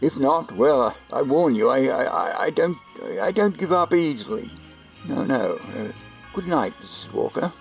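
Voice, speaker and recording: A man playing a kindly, earnest old man who believes he is Santa, gentle, hesitant and folksy in an old-time radio drama. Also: mid-range voice, expressive, normal speed, creaky, faint music behind. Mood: earnest, warm. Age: older.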